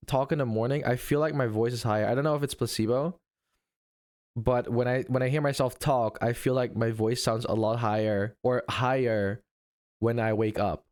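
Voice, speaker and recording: A man's voice: mid-range voice, darkish tone. Man, livestreamer, casual chat, rambling, laughs a lot. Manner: rambling, laughing, thanks chatters. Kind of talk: casual live-stream chat.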